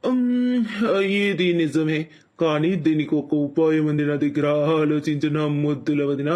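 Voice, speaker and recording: A man playing a cruel, greedy brother-in-law in a cartoon story, mocking and slowly menacing as he gloats. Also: fairly high pitch, fairly even intonation, darkish tone, close to an everyday voice. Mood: gloating menace. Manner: mocking, slow drawn-out menace. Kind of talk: animated moral story acting.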